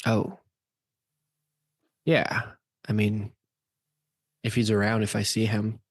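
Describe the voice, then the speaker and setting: A man playing a defensive, nervous young stoner with halting dude-speak in an amateur radio play. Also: fairly low pitch, little pitch movement, slightly rough, voice pushed down, heavily acted.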